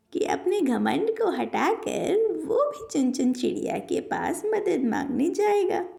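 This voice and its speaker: A woman's voice: extremely high pitch, vocal fry, dark tone, echoey room. A woman narrating a scripted children's story as a storyteller.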